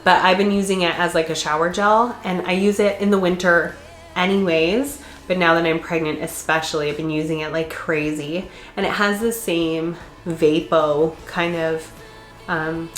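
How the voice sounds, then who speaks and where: A woman vlogger with a congested, stuffed-up voice, chatting casually to her camera and laughing often. Her voice sits on the low side for a woman. The sound bounces around an echoey room. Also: fairly even intonation, moderate pace, soft background music. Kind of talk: casual chat.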